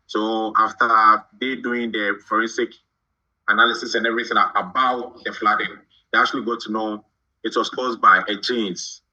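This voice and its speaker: Halting low-voiced man from Ghana, lo-fi. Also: little pitch movement, pushed and bright. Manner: halting, repeats words while thinking. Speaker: guest, shoe brand founder. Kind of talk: podcast interview.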